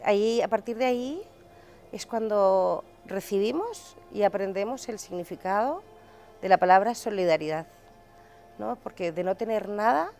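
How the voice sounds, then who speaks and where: A woman in a documentary interview, recounting the past in a measured way and stressing each item as she lists it. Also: medium pitch, animated, a little mellow, soft background music.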